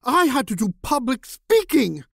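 An actor playing a hot-headed male junior minister who shouts frantically from across the room in a radio comedy. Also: extremely high pitch, sing-song, a little slow, creaky, no echo, studio quality, exaggerated voice. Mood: frantic.

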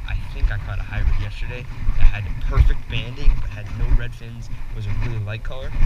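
Excitable young man fishing, camera mic. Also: on the low side, muffled recording. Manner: excitable, rambling, talks while fishing. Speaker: fly-fishing vlogger. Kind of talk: casual play-by-play to camera. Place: outdoors on a wooded riverbank. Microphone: head-mounted action camera. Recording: outdoor camera mic, distant voice, noisy.

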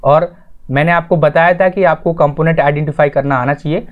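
A man's voice: fairly high pitch, fairly even intonation, brisk, narrow, tinny audio. Man explaining like a tutor. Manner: instructional, addresses viewers as friends, repeats points. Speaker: tutorial host. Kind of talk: explanatory voice-over lesson, informal.

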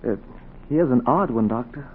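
A man's voice: medium pitch, moderate pace, slightly rough, close to an everyday voice. An actor playing an earnest, deferential young medical apprentice in a 1940s radio drama, polite and careful in his speech. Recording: tinny old radio, faint noise.

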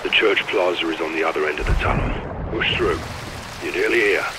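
A stoic special forces lieutenant in a mask, acted by a man for a military video game. His voice is extremely deep, and he speaks in clipped, darkly deadpan lines. Noisy ambience runs behind him. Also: a little fast, lightly acted. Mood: calm, commanding. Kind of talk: naturalistic video game acting.

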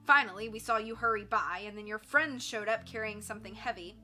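A man's voice: high-pitched, brisk, bright, forceful tone, faint music behind. A woman voicing an angry, domineering male gang boss who barks and sneers sarcastically in an audiobook. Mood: gloating. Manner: barking, sarcastic.

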